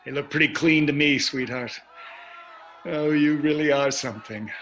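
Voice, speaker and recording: A man's voice: fairly high pitch, moderate pace, a bit bright, faint music behind, lightly acted. A man acting a wealthy, breezy, self-satisfied husband in a play reading, with a chatty delivery. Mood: cheerful, teasing. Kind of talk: staged play reading over video call.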